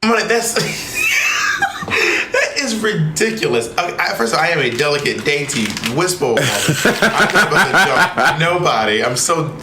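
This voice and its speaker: A man's voice: a little high, wildly swooping pitch, measured pace, pushed and bright. A man in his early thirties bantering and telling stories as a podcast co-host, sassy, theatrical and teasing. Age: early 30s. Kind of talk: casual comic banter and storytelling. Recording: echoey room, clear background noise.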